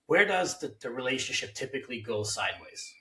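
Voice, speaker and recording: A man hosts a casual interview and shares his views at length. His voice is bright and forceful. The room around him is echoey. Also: mid-range voice, sing-song, fairly quick, far from the mic. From Hungary. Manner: opinionated, talks at length. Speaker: host.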